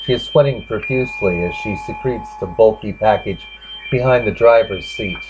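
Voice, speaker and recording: A man giving a grave, halting dramatic reading of literary fiction as its narrator. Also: lowish voice. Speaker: narrator reading a short story. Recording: dull lo-fi recording with clear background noise.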